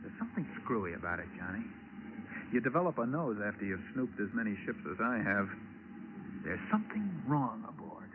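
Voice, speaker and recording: Uneasy seasoned sailor, tinny radio. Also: male voice, lowish voice, sing-song, brisk. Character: uneasy, shrewd seasoned male ship's snoop. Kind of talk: 1940s radio drama acting. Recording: tinny, noisy vintage radio recording.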